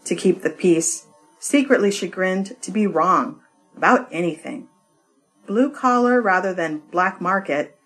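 Slow-paced woman reading poetry. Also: lowish voice, fairly bright tone. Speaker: poetry reader.

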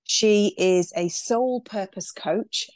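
A woman's voice: mid-range voice, slow, a little mellow, no echo. Gushing woman podcast host. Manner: gushing and enthusiastic, chatty with fillers. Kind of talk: casual interview chat.

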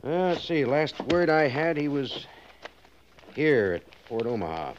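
A muffled old radio drama recording with faint noise carries an American fort commander. The major is exacting yet fair, formal and authoritative, with a very varied mid-range delivery. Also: male voice, mid-range voice, big pitch swings, unhurried. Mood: measured, concerned. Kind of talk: 1950s radio western acting.

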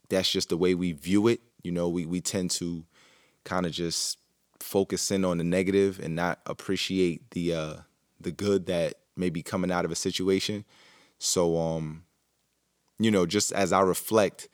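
A man's voice: deep voice, darkish tone, no echo, studio quality. A man hosting his own podcast, talking alone in a rambling, reflective way with lots of fillers and restarts. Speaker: podcast host. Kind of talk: casual solo monologue.

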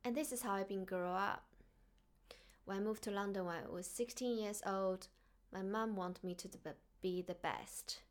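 A woman from China with a Chinese accent, speaking earnestly and softly as the host of a reflective solo podcast. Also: mid-range voice, moderate pace.

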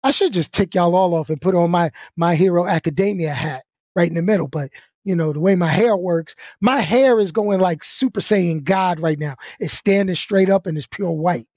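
A man's voice: high-pitched, moderate pace, a little mellow, dry recording, studio quality. A man from Brooklyn, hosting his own live stream, joking and chatting straight to his viewers. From Brooklyn, New York. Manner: joking, hyped, talks to viewers directly. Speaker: YouTube live stream host. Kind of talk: casual live stream outro chat.

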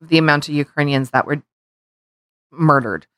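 A lower-pitched woman in middle age co-hosts a casual podcast, rambling and circling back on herself as she vents. The recording is dry and close. Her talk is peppered with restarts and the odd curse. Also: normal speed, fairly bright tone, no room sound. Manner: heated, rambling, swears, frequent restarts. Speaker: podcast co-host. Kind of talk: casual opinion chat.

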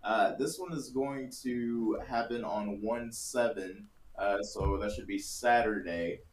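A man's voice: on the low side, slow pace, a little hiss or hum. A young man co-hosting a casual sports-betting podcast, laughing often and poking fun at himself. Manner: laughing, self-deprecating. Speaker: podcast co-host. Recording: roomy echo.

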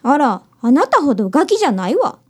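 A woman's voice: high-pitched, big pitch swings, brisk. A woman playing a cheeky, precocious young schoolgirl in a radio drama, bossy and haughty. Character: cheeky, precocious little schoolgirl who challenges detectives.

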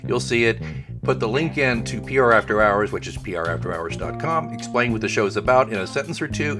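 A middle-aged man hosting a business podcast, making earnest, friendly appeals to listeners and introducing his guest. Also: mid-range voice. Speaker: podcast host. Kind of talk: podcast host talk.

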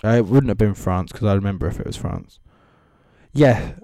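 A man's voice: on the low side, animated, normal speed, creaky, dark tone, no room sound, clean studio sound. A man giving casual, off-the-cuff comedic commentary as a host, with sarcastic, mocking asides. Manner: sweary. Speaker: comedy host reading social media comments.

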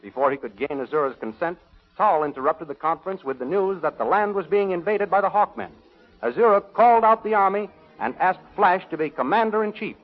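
A man announcing and narrating a 1930s radio serial in a crisp, formal way. The old recording sounds dull. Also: sits high, expressive, a little fast.

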